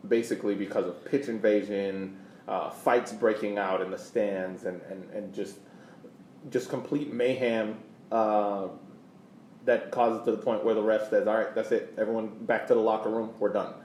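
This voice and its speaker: A man co-hosting a casual sports podcast, explaining things in a halting way, often repeating words as he goes. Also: fairly low pitch, fairly even intonation, darkish tone. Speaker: podcast co-host. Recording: roomy echo, clear background noise.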